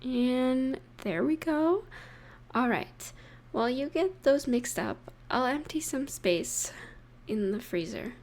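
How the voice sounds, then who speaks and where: An affectionate girlfriend in an intimate roleplay audio, acted by a woman. Her voice sits somewhat high and she talks very slowly, leaving pauses. She is gentle and playfully teasing as she addresses her partner. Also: lively intonation, heavily acted. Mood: teasing, fond.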